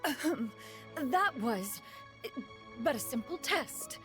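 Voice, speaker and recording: Proud ancient immortal lady, fairly high voice, animated intonation, pompous formal diction, anime game. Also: slow, music in the background, full character voice. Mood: haughty. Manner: pompous, formal, calls self "one". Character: proud ancient adeptus spirit posing as elegant mortal woman.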